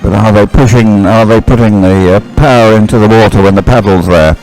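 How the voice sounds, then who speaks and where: A male race commentator with a fairly low voice speaks live over faint music. His delivery is descriptive and somewhat halting, with corrections made along the way. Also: a little mellow, rough recording.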